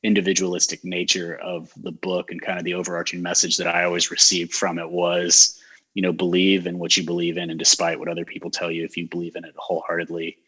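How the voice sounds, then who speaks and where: Low husky guy hosting a local show, neutral american accent, rambles with lots of um's. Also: fast talker, rough recording. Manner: relaxed and rambling, many fillers and restarts. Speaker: mayor hosting a community talk show. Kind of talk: casual interview chat.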